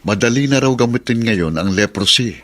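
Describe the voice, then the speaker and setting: An actor playing a firm, practical husband in a radio drama, matter-of-fact, with a Filipino accent. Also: male voice, medium pitch, expressive, moderate pace, a bit bright, lightly acted. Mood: informative. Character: plain-spoken husband, returned overseas worker, firm and practical.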